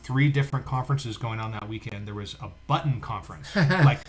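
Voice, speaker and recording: A man's voice: mid-range voice, fairly quick. Chatty man co-hosting, music behind him. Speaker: co-host of a livestream show. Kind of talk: casual chat, reading out viewer comments.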